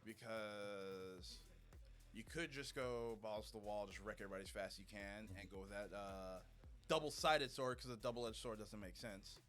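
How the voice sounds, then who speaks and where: A man's voice: fairly low pitch, moderate pace, a bit bright. A man commentating an esports match as casual banter, in a wry, joking, slangy way. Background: background music.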